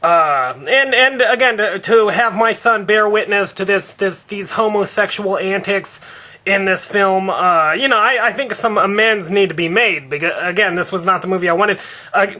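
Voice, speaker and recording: A man acts out an indignant, devout father in a prank phone complaint. His voice sits high with a bright, sharp edge. He stammers, stalls and circles back over his grievances. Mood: disgusted, halting. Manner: halting, stammering, repetitive. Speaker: prank caller.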